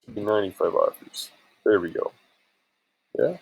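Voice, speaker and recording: A man's voice: on the low side, sing-song, normal speed, creaky, breathy edge, poor audio. A man chatting casually in an informal, rambling and hesitant way for a screen-share vlog, sounding a bit tired. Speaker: vlogger and affiliate marketer.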